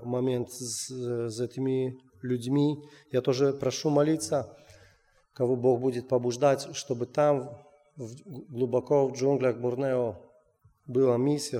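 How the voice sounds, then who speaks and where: A man telling a missionary testimony, halting with many restarts. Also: medium pitch, dark tone. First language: Russian. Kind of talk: personal testimony. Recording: long hall reverb.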